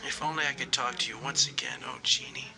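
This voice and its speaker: A man's voice: mid-range voice, fairly even intonation, fast talker, vocal fry, pushed and bright, poor audio. A man playing a grief-stricken young bridegroom, tender and melodramatic, in a 1930s-style radio horror drama. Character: grief-stricken young bridegroom mourning his dead love.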